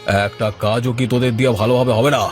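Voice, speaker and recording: A man's voice: medium pitch, fairly even intonation, brisk, soft background music, natural voice. A man voicing a stern village boss in a cartoon, scolding and commanding with a threatening manner. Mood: angry, threatening. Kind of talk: cartoon voice acting.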